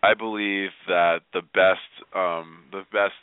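A man's voice: deep voice, steady tone, slow, clear, resonant tone, dry recording. A man being interviewed as a guest, explaining in a conversational, emphatic way and repeating phrases for emphasis. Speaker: guest, workplace positivity trainer and speaker. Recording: narrow phone-like band, dry.

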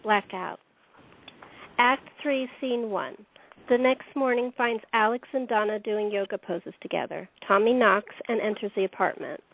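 A woman's voice: mid-range voice, a little slow, creaky, a little hiss or hum. A woman narrating a radio play from a script, reading out stage directions. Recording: thin phone-like line.

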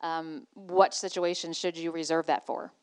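A woman's voice: sits low, steady tone, moderate pace, smooth, no room sound. A woman hosting a podcast, speaking conversationally as she interviews and informs listeners. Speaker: podcast host.

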